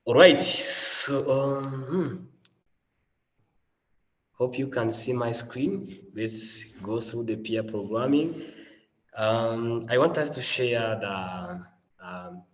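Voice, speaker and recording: A man's voice: medium pitch, slow, fairly bright tone, in a large hall, rough recording. A man with a mild flu presenting a learning module on a video call, upbeat, with many fillers and repeated phrases. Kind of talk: online teaching session.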